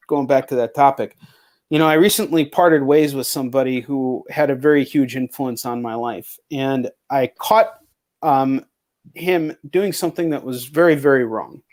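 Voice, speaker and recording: A man's voice: mid-range voice, darkish tone. A man hosting a casual livestream chat, talking in a rambling, slangy way and swearing freely. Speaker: livestream host and indie comic creator.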